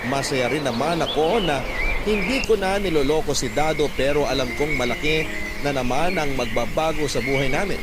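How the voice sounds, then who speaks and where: A man narrating a radio drama as a first-person letter, telling the story in a measured, confessional way. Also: on the high side, heavy background noise. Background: loud background music.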